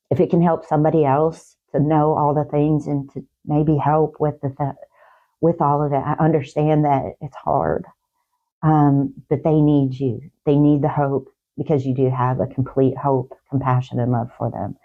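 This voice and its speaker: A woman talk show guest giving heartfelt, faith-based encouragement in a halting way, repeating words and phrases. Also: sits low, flat delivery, narrow, tinny audio.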